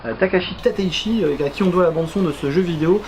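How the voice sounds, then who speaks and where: A man's voice: sits high, fast talker, darkish tone, a little hiss or hum, poor audio. A man hosting a radio show, chatting casually and joking as he presents.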